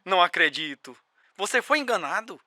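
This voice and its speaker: A man's voice: high voice, big pitch swings, brisk, sharp tone, no echo, studio quality, heavily acted. An actor playing a concerned, helpful man on a telephone call in a radio drama.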